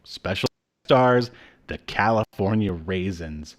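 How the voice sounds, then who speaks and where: A man's voice: low voice, expressive, takes it slowly. A man in his thirties co-hosting a podcast from home, chatting casually and hesitantly. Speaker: podcast co-host. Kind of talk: casual chat reviewing old media. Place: indoor room at home, video call.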